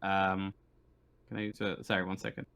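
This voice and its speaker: A man improvising narration as game master of a tabletop roleplaying game, haltingly, often repeating the starts of his phrases. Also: low-pitched, monotone, a bit bright, clear, resonant tone.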